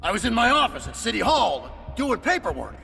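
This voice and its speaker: A man playing a middle-aged brawling mayor in a video game, gruff, blunt and combative. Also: very high voice, huge pitch swings, fairly quick, bright, forceful tone, exaggerated voice. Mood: bewildered, gruff. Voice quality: gruff, gravelly. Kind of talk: video game fighter acting. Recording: music behind. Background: game music.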